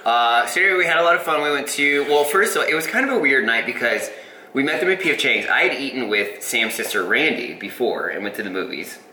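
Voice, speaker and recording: A man's voice: mid-range voice, animated, fast, sharp tone. A young man co-hosting a podcast in casual chat, rambling through a story with hesitant fillers. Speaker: podcast co-host. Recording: echoey room, faint hiss.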